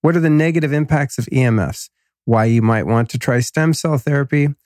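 A man's voice: mid-range voice, a little fast, darkish tone, no room sound, clean studio sound. A man hosting a podcast, talking in a laid-back, slangy, enthusiastic way, slightly nasal from a cold. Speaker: podcast host. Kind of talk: casual podcast intro and ad reads.

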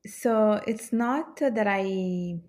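A woman's voice: mid-range voice, very slow, darkish tone. A woman from Italy, a podcast guest, talking in a thoughtful, hesitant way with many fillers. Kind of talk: casual interview conversation.

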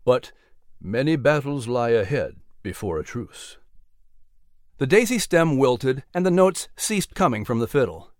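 Animated man, podcast announcer and storyteller. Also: mid-range voice, measured pace, no room sound, clean studio sound. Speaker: announcer and narrator. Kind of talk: scripted storytelling and podcast banter.

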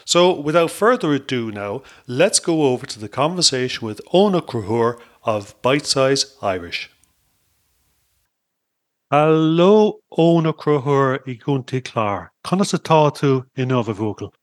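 A man's voice: medium pitch, expressive, unhurried, no echo, clean studio sound. A man hosting a podcast in Ireland, talking in an upbeat, chatty way with frequent fillers. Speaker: podcast host. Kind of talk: podcast interview.

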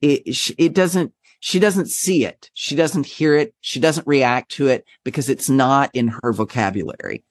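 A man from Texas speaks at a middle pitch in a dry, close recording. He talks as a guest about his drag performing, rambling and self-deprecating with plenty of animated asides. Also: normal speed, few pauses, no echo. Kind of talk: conversational interview.

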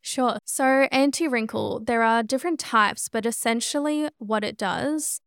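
A woman co-hosting a chatty podcast. Her voice is smooth and clean with a touch of vocal fry, and she explains things casually in a close, dry recording. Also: on the high side, unhurried, a bit bright, dry recording. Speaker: podcast co-host and cosmetic injector.

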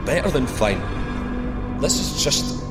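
An actor playing a plucky, excitable male astronaut in a sci-fi audio drama, breezy and cocky between clipped technical reports. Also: mid-range voice, takes it slowly, roomy sound, loud music behind the voice. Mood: awed, excited. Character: plucky, excitable male astronaut piloting a deep-sea microsub.

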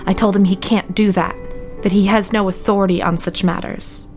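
An actress playing a bitter, haunted young 1950s wife reciting her diary in a brooding, deliberate audio drama monologue. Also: mid-range voice, steady tone, a little mellow, faint music behind, lightly acted. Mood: bitter defiance. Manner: brooding, deliberate, with heavy pauses.

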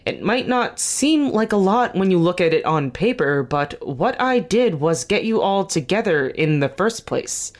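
An actor playing a weary, guarded veteran team leader in an audio drama, speaking earnestly and haltingly with dry wit. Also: medium pitch, big pitch swings. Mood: dry, defensive. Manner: earnest, halting, self-correcting, dryly wry. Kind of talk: naturalistic audio drama dialogue.

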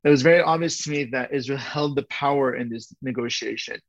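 A man's voice: mid-range voice, fairly quick, creaky, dry recording, dull audio. A man in his forties, raised in Southern California, who spoke Persian first, talking as a historian guest in a loose interview and thinking aloud with frequent restarts. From born in Iran, raised in Southern California. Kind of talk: casual interview talk.

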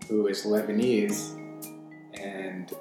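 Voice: low-pitched, level tone, slow pace. An interviewer with an informal, chatty way of talking, hosting a conversational interview. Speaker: interviewer, host. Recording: echoey room with music behind.

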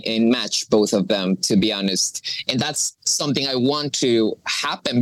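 A woman, a coffee professional talking as an interview guest, halting and searching for her words with frequent restarts. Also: rumbling low, no echo, rough recording. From Colombia. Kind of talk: interview conversation.

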